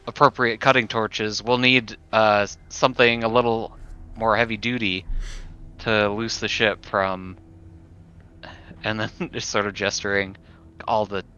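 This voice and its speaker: Man, slow halting delivery with a forceful edge, casual roleplaying gamer, lo-fi sound. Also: fairly low pitch, smooth. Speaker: tabletop game player. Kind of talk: casual, improvised roleplay talk. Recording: dull, lo-fi audio.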